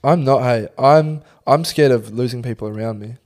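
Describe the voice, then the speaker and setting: Sweary young man, mid pitch. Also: normal speed. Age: young adult. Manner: animated, sweary, says "bro". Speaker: podcast co-host. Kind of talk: casual storytelling chat.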